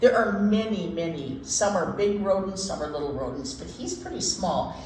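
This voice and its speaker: A woman hosts a children's read-aloud with very lively, swooping intonation. She mixes simple reading with folksy, informal explanations and repeats words for emphasis. The room is echoey, with some faint noise. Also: fairly low pitch, normal speed. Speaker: children's storytime presenter.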